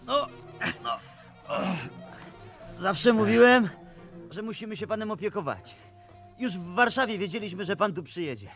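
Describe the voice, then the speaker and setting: A cheeky, protective boy in a vintage seventies radio play. The voice is high and very animated, fussing eagerly. Faint music sometimes sits behind it. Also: normal speed, pauses often. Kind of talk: 1970s radio play acting.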